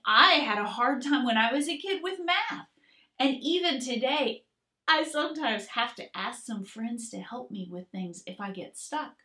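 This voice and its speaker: A woman art teacher reading aloud and talking to young children in an expressive, gentle, encouraging manner. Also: sits high. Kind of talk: expressive children's storybook read-aloud and lesson.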